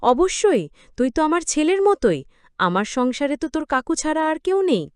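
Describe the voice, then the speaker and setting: A woman's voice: high voice, very animated, moderate pace, dry recording, clean studio sound, voice pushed up. A woman voicing a sorrowful, secretive but affectionate aunt in a narrated story, reflective and confiding. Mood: caring, evasive. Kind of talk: acted dialogue in story narration.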